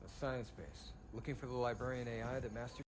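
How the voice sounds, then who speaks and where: A man's voice: mid-range voice, steady tone, brisk, lightly acted. An actor playing a serious, terse military man at a briefing in a video game cutscene. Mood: terse, questioning. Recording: game audio with clear background noise.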